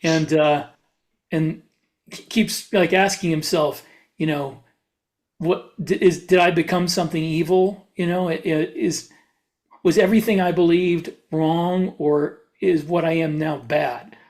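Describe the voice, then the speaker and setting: A man's voice: fairly high pitch, fairly even intonation, unhurried, darkish tone. A man chatting casually as a podcast host and author, with a wry manner. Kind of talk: casual chat.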